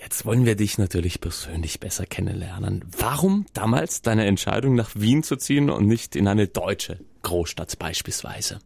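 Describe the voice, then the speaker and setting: Man, interviewer, calm, dry close sound. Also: fairly low pitch, normal speed, gravelly, hardly any pauses, slightly rough, dry recording. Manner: calm, brief backchannels. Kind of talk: interview questions.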